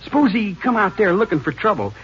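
A man's voice: high voice, big pitch swings, a little fast, a bit bright. An actor playing a nervous young man who hesitates and stammers, in a 1950s radio drama. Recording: dull lo-fi old radio broadcast with faint hiss.

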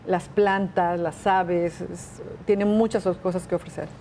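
A woman from Mexico speaks in a low, unhurried voice, working out her answers aloud with small fillers. She is a guest in a casual interview, and faint room noise sits under her voice. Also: fairly even intonation, warm tone. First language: Spanish. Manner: thinks aloud with pauses and fillers. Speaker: guest, tour company owner.

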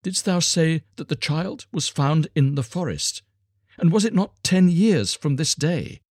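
Storybook beggar woman, played by a man: raised pitch, emphatic, desperate pleading, old-fashioned wording. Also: expressive, measured pace, a little mellow, dry recording, clean studio sound. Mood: urgent, anxious. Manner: archaic, imploring, emphatic speech. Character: ragged beggar woman, long-lost mother, anguished and pleading. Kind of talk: dramatised storybook reading.

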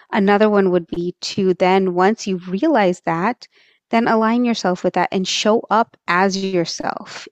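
A woman's voice: lowish voice, a little mellow, no room sound. A woman from Texas, a podcast guest, giving earnest and thoughtful advice in a conversational way, with frequent fillers. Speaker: interview guest.

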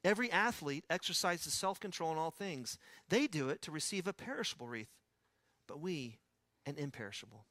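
West texas pastor guy preaching, chatty storyteller, bright punchy voice, dry close sound. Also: on the high side, expressive, a little fast, dry recording. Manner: conversational storytelling with asides. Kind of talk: sermon.

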